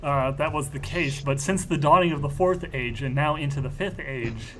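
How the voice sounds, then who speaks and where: A man's voice: a little high, moderate pace, sharp tone, slight background noise, rough recording. A man speaking casually and informally in character during a tabletop role-playing game.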